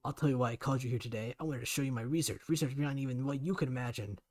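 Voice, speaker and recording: A man voicing a cruel, jealous older scientist who sneers coldly and then shouts at his daughter. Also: medium pitch, fairly quick, breathy edge, close to an everyday voice. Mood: furious. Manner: cold, sneering, explodes into shouting.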